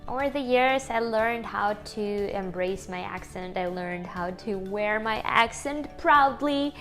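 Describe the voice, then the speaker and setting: A woman's voice: medium pitch, a bit bright. A woman with a strong Ukrainian accent, chatting casually to the camera in her home kitchen, upbeat and laughing often as she talks to her viewers. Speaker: YouTuber.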